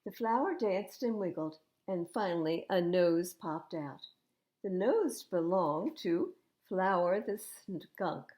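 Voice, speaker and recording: Animated older woman reading children's stories. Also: lowish voice, slow pace. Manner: animated, acting out dialogue. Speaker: library storytime reader. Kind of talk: expressive children's storybook read-aloud.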